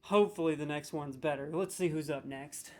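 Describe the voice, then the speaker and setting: A man's voice: on the high side, fairly quick, slight background noise. A man hosting a comedic video, ranting sarcastically with mock outrage as he scores game voice lines.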